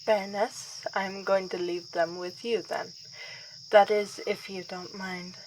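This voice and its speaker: A woman's voice: medium pitch, flat delivery, unhurried, background noise, close to an everyday voice. A woman playing a refined, wry vampire princess in an ASMR roleplay, with dry and politely formal delivery. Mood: playful, gracious.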